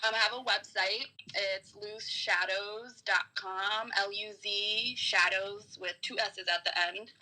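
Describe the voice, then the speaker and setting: Very bright-voiced woman chatting casually. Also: mid-range voice, steady tone, a little slow, hardly any pauses, rough recording. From New York City. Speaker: podcast guest, comedian and tarot reader. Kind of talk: casual conversation.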